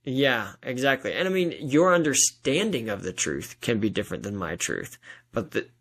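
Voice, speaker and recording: A man's voice: mid-range voice, big pitch swings, normal speed, a bit bright, breathy edge. A man hosting a podcast, casually discussing faith in an earnest, explanatory way peppered with "like". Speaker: podcast host.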